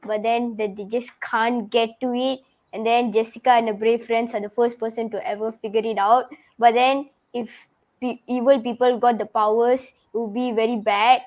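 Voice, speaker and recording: A woman co-hosting a casual online talk show, telling an excited, quick made-up story. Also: a little high, highs cut off. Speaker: co-host.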